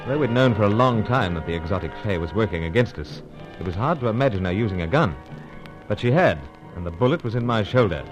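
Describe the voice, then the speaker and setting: Actor as a jaunty secret agent, animated. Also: male voice, fairly low pitch, fast, over music, close to an everyday voice. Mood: dramatic narration. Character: suave British male secret agent, jaunty, unflappable, narrating. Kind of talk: old radio serial acting and narration. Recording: dull old radio recording.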